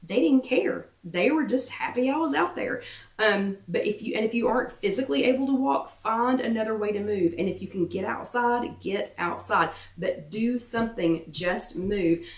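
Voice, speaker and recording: A woman hosting a wellness workshop, chatting casually and encouragingly to viewers, repeating herself for emphasis with lots of ums, her voice getting croaky. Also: mid-range voice, expressive, normal speed, recorded from a distance. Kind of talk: casual motivational chat to viewers. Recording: roomy echo, away from the mic.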